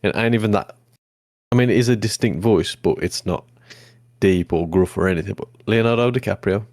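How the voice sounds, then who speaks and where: A man, co-hosting a casual podcast chat, easygoing and swearing freely, with a deep voice that turns mumbly when relaxed. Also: no echo, clean studio sound. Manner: easygoing, swears casually, quick agreeing "yeah"s. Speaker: podcast co-host.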